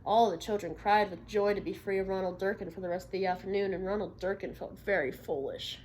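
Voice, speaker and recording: A woman with a mid-range voice reads a children's storybook aloud in the manner of a teacher. Her delivery is expressive and emphatic, with encouraging questions that check the listeners follow along. A light layer of room noise sits behind her. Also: mid-range voice, a little fast, rough recording. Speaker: teacher reading a picture book aloud to children.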